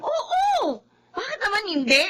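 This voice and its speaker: Casting: excitable Filipino younger sister, squeaky-high voice, swooping pitch, anxious radio-drama delivery. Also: female voice, measured pace, background noise, full character voice. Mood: bewildered. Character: excitable, worried little sister.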